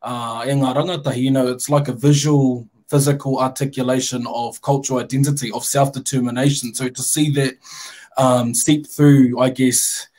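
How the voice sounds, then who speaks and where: A man's voice: medium pitch, little pitch movement, moderate pace, a little mellow. A New Zealand man who works at a university, speaking thoughtfully as a panel guest on a video call. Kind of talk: thoughtful discussion, mixing Māori and English. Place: home room, video call.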